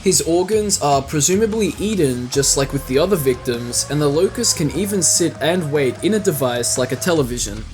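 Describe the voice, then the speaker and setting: Man, slightly high voice, scripted explainer narration, casual asides, music underneath. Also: animated, moderate pace, few pauses, a little mellow. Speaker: video essay narrator. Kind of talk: scripted narration, fan commentary on monsters.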